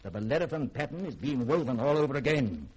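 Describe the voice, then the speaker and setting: Male scientist, middle-aged, husky, haughty, secretive, formal 1950s radio drama delivery. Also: medium pitch, big pitch swings, a little mellow, poor audio. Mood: ominous warning. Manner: haughty, formal, deliberate phrasing. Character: imperious, secretive middle-aged scientist living on alien world.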